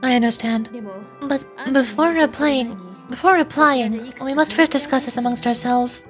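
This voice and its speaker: A woman voicing a composed, shrewd female leader in a video game, speaking in an analytical, deliberate and polite way. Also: sits high, faint music behind, natural voice. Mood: serious, wary. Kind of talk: live read-aloud of video game dialogue.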